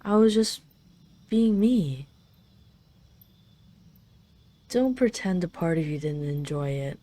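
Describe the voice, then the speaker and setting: Girl acting as haughty dragon queen for roleplay audio, lowish soft mellow voice, bossy and teasing but fond. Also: lively intonation, a little slow, no echo. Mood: mocking, affectionate. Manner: imperious, teasing, intimate, slightly flustered when admitting affection. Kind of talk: roleplay audio drama addressed to the listener.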